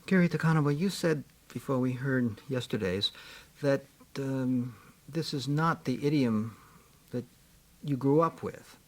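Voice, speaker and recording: A man's voice: mid-range voice, a little slow. A man hosting a radio interview, asking long, rambling questions and murmuring agreement while his guest speaks.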